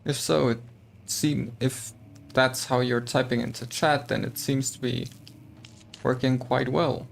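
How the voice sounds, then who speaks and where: A man's voice: mid-range voice, steady tone, a little slow, vocal fry, slight background noise. A man streaming a game, chatting casually, thinking aloud and talking to his chat. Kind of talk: casual gameplay commentary.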